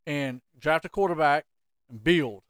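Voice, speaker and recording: Guy on a sports podcast, kinda high voice, super clean and dry sound. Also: unhurried, clean tone, no echo. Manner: animated, jokey, plays the interviewer. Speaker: podcast co-host. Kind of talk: casual sports chat.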